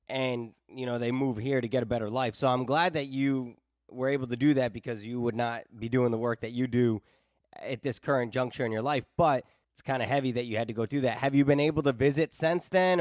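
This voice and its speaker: Man in his thirties, podcast host, teasing and flippant, mid pitch, dry close sound. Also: fairly quick, no echo. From New England. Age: 30s. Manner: teasing, flippant, animated storyteller. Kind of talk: casual chat.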